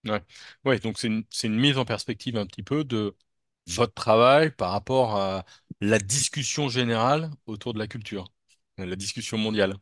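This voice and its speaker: A man interviewing a comics author, asking long measured questions with some hesitation. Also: lowish voice, a bit bright, no echo, studio quality.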